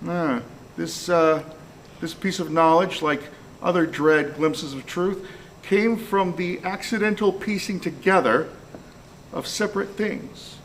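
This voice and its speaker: A man's voice: a little high, slow, slight background noise, poor audio. A man acting an inquisitive, dogged police detective in an amateur live radio play. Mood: grave, reading aloud.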